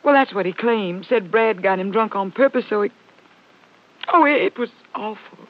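An actress playing an emotional, defensive ranch wife with halting, plain rural speech in a 1950s radio drama. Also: female voice, medium pitch, natural voice. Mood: defensive, agitated. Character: distraught, defensive ranch wife, newly widowed. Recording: muffled old radio recording.

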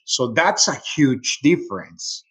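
A middle-aged man from Mexico City with a Mexican accent, a native Spanish speaker, talking casually as an interview guest in a halting way with repeated words. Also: on the high side, sing-song, fairly bright tone, slightly rough, low-quality recording. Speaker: sports radio play-by-play announcer, interview guest.

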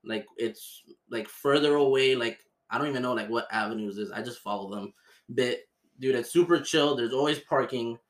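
A relaxed man from Miami hosts a casual podcast chat, his voice sitting at an ordinary middle pitch. He talks in a loose, slangy way, stringing thoughts together with plenty of "like" and easy asides. The room around him adds a noticeable roomy echo. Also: normal speed. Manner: laid-back, slangy, lots of fillers. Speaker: podcast host.

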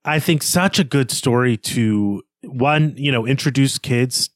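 A man's voice: medium pitch, no echo. Discursive man, dry studio-like sound. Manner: discursive, halting, circles toward his point. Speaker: podcast host. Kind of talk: analytical literary discussion, conversational.

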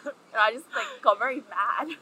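A young woman co-hosting a podcast in casual chat, talking with lots of "like" and animated retelling. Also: fairly high pitch, normal speed, slight background noise, poor audio. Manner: chatty, heavy use of "like", animated storytelling. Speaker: podcast co-host.

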